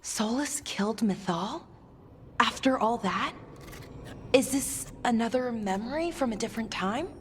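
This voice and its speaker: A woman's voice: fairly high pitch, expressive, measured pace, a little hiss or hum. A woman playing an earnest, inquisitive young adventurer in a video game cutscene. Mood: disbelieving. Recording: long reverb, music behind.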